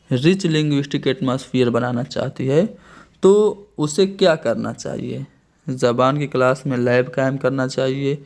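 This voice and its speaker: Indian-accented male teacher, mid-pitched, methodical step-by-step explainer for an exam-prep lesson. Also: gravelly, a little mellow. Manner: explains step by step, often restating terms in two languages. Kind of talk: online exam-prep lecture.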